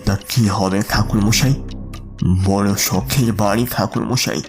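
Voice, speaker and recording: A man's voice: on the low side, steady tone, slow, faint music behind. A man playing a frightened, respectful businessman in an audio horror story, pleading and worried. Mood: shaken, bewildered. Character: frightened Bengali businessman, respectful, anxious and plaintive.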